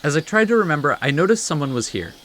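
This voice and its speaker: A let's play host commenting casually on a game and reading its text aloud, a little haltingly, with soft interjections. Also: medium pitch, sing-song, slight background noise. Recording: game music behind the voice.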